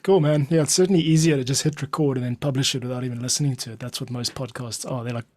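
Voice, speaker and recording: A relaxed male podcast host with a voice that sits at an ordinary middle pitch. He talks easily in loose, slangy casual chat, full of "dude" and "cool". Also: fast talker. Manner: laid-back, slangy, says dude and cool.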